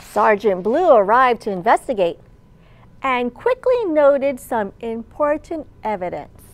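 A woman in her thirties, a children's librarian, telling a picture-book story in an animated, clearly enunciated way. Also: a little high, unhurried. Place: large indoor library room.

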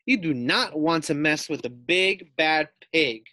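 A man voicing a boastful, excitable little guinea pig hero in a children's storybook, exclaiming with swagger. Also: on the high side, a little slow, dry recording, clean studio sound. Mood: bold, threatening. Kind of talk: children's storybook read-aloud.

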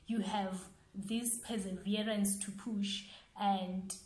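A young woman with a South African accent speaking earnestly and deliberately, rephrasing as she gives motivational advice in an interview. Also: medium pitch, steady tone, darkish tone. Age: young adult. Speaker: interviewed young entrepreneur, business owner. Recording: echoey, roomy sound.

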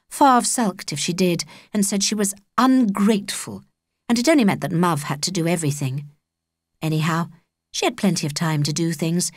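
A woman's voice: on the low side, no room sound, studio quality. A woman with a British accent reading a children's story as an audiobook narrator.

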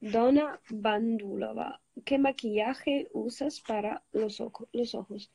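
Young woman, Italian native from Switzerland, phone livestream host, husky low-toned voice with vocal fry. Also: unhurried, dry recording. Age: young adult. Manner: greets viewers, switches languages, relaxed and chatty. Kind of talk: casual livestream chat with viewers. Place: lying on a bed indoors. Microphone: phone held at arm's length.

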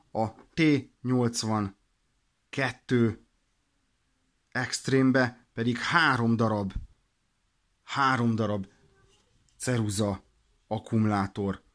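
A man's voice: on the low side, fairly bright tone. A man explaining products in an informal instructional way, practical and a little hesitant in his delivery. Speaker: product demonstrator for a radio shop.

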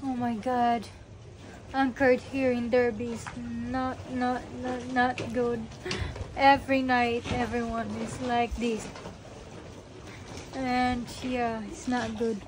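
A woman hosting a sailing vlog outdoors on a boat, excitable and given to loud exclamations. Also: on the high side, slow pace. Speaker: sailing vlogger host. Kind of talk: casual vlog narration on a boat. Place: outdoors on a sailboat at sea. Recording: wind noise on an outdoor mic. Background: wind and boat noise.

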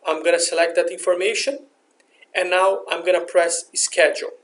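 A man narrating a software demonstration in a step-by-step, instructional way. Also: sits high, little pitch movement, normal speed, slight background noise. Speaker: software demo presenter. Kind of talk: scripted software tutorial narration. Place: screen recording voice-over.

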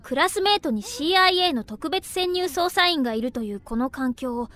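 A woman's voice: sits high, animated, brisk, pushed and bright. An actress playing a clever anime schoolgirl who explains the situation and drops dry asides. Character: sharp, know-it-all anime schoolgirl.

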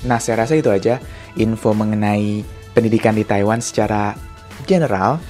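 A young man from Indonesia, a student vlogger, explaining things in a quick, chatty way into a handheld mic. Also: lowish voice, steady tone, darkish tone. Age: young adult. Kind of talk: casual vlog narration. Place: indoor office, then outdoors on a street. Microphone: handheld mic with fluffy windscreen. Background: background music.

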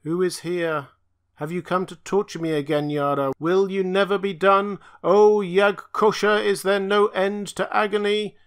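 A man doing an ancient, tortured alien creature, high and grieving. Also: steady tone, unhurried, clear, resonant tone. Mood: anguished. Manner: lamenting, chanting, raised and pleading.

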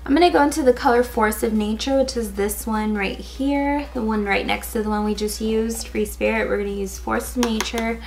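A woman in her twenties talks through a makeup tutorial in a casual, filler-laden way. Her voice is raspy and a little hoarse from a cold, sitting fairly high with a gravelly fry. She speaks close to the camera in an indoor setting. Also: steady tone, moderate pace, few pauses, soft background music. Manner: casual, chatty, many fillers like "um" and "like". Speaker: beauty vlogger. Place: indoors, in front of a gold sequin backdrop.

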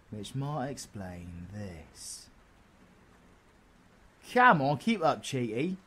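Casting: a man to play a cheeky British villain for audio drama, medium pitch, bossy, sweary, full of banter. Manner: teasing, swearing, slangy banter. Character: cheeky, foul-mouthed, bossy male supervillain. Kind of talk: audio roleplay voice acting.

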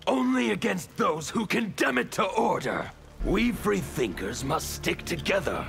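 A gravelly anarchist villain from a fighting game. He is menacing and bitter, speaking slowly and deliberately with big swings in pitch over faint music. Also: very animated, a bit bright, heavily acted. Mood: defiant, menacing. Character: mutilated male chaos zealot, bitter freethinking anarchist villain. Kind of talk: fighting game character dialogue.

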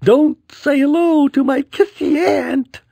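A man's voice: very high voice, slow, gravelly, dark tone, dry recording, pitched above the performer's own voice, exaggerated voice. A man voicing an embarrassed young boy in a storybook, whiny, strained and exaggerated in his protests. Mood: pleading.

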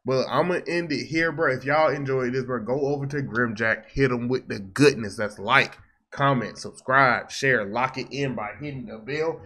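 A man's voice: medium pitch, normal speed, pushed and bright. A young American man giving casual, slangy reaction commentary and often repeating his phrases. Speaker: reaction video host. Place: small room at home.

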